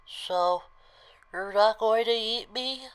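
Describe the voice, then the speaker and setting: A woman's voice: on the high side, takes it slowly, clear, resonant tone. A woman playing a small, frightened talking rabbit in an audio drama, pleading politely and hesitantly. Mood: timid.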